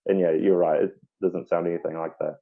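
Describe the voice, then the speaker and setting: Tinny-sounding rambling podcast host. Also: male voice, deep voice, level tone, fairly quick. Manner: rambling, many fillers and restarts. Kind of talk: casual podcast interview. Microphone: online call. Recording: tinny phone-like online call audio.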